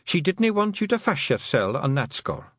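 A man's voice: a little high, big pitch swings, moderate pace, a bit bright, no room sound, clean studio sound, voice pushed up. A man voicing a small, proud pixie woman who speaks formally with a Scottish accent.

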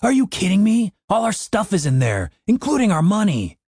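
An anxious adventurer at the end of his patience , voiced by a man reading a fantasy audiobook. He scolds and pleads indignantly at a medium pitch. The recording is close and dry. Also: sing-song, moderate pace, darkish tone, slightly rough, dry recording. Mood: exasperated. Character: exasperated, scolding male adventurer, the party's anxious voice of reason.

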